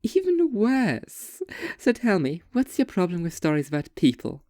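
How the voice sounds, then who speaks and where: Casting: actress as a prodding, sardonic young waitress for audio fiction, lowish mellow voice, animated intonation. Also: female voice, unhurried, dry recording, studio quality. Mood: incredulous teasing. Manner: casual, teasing, sarcastic.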